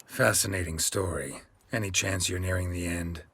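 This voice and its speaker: Gruff stoic monster hunter, bottomless low monotone, clipped deadpan game lines. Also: male voice, moderate pace, no echo, exaggerated voice. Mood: dry, sardonic. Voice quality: gravelly, low. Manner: terse, deadpan, clipped sentences. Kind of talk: video game voice acting.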